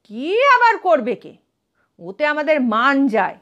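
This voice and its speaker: A woman reading as a proud landlord uncle of seventy in a storybook. The voice is high and unhurried, swinging with self-satisfied complaint. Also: wildly swooping pitch, pushed and bright, heavily acted. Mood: proud, complacent.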